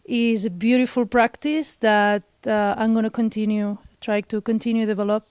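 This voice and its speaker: Smooth, level woman from Spain. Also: a little high, steady tone, moderate pace, a little mellow, dry recording, clean studio sound. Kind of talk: short testimonial.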